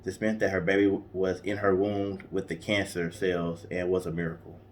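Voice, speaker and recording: A man's voice: low-pitched, level tone, moderate pace, slight background noise. A man co-hosting a storytelling show, reading a story aloud in a casual, conversational way. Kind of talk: casual storytelling read from notes.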